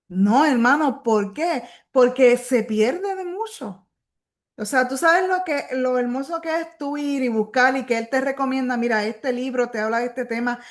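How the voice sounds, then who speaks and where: A woman with a Puerto Rican accent, leading a Bible study, earnestly urging listeners she calls brother and sister, repeating phrases for emphasis. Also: on the high side. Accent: Puerto Rican Spanish. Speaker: Bible teacher.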